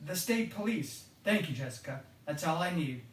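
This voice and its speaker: A man playing a calm, guarded impostor police officer with quiet menace in an audio drama. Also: a little high, moderate pace, recorded from a distance, natural voice. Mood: guarded, warning. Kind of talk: naturalistic radio drama dialogue. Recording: roomy, echoing, off-mic sound.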